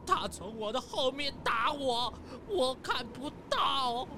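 A man's voice: very high-pitched, animated, slow, pushed and bright, heavily acted. A man playing an injured young fox in a children's audio drama, weak, dazed and groaning in pain, with faltering words. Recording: clear background noise and ambience.

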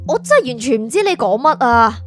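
A woman's voice: a little high, very animated, fast talker, faint music behind. A woman with a Hong Kong Cantonese accent playing an aloof, matter-of-fact soul prosecutor who is naive about humans, in an audio drama. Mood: baffled.

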